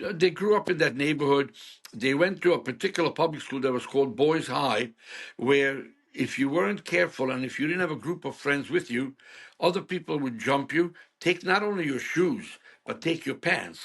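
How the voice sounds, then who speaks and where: A man's voice: mid-range voice, normal speed, slightly rough. A man in his seventies from Hungary, speaking, telling stories frankly as a guest in an interview. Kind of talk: storytelling interview.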